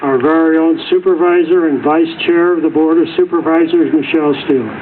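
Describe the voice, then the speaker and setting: Flat-voiced male emcee, tinny PA. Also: fairly high pitch, brisk. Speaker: master of ceremonies. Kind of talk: event announcing. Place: outdoor park festival. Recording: tinny lo-fi PA recording, noisy.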